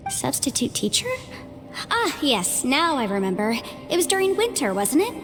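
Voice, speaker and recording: A woman voicing a cheerful, chatty young lawyer in an anime game, with a friendly, expressive manner. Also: high-pitched, normal speed. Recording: long reverb, faint music behind.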